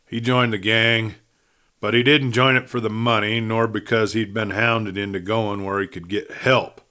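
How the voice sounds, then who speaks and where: A man's voice: level tone, moderate pace, sharp tone. A man voicing a dying Western outlaw in an audiobook, desperate and sneering, speaking urgently in a rustic cowboy drawl as he gasps out a confession. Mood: urgent pleading. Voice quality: low, breathy. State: gasping, near death. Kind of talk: audiobook character voice.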